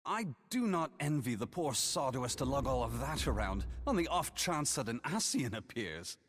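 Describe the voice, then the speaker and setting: An actor playing a skeptical, erudite scholar in a fantasy game, with a dry, formal way of talking. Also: male voice, medium pitch, big pitch swings, moderate pace, fairly bright tone. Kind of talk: scripted fantasy game dialogue. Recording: reverberant hall sound.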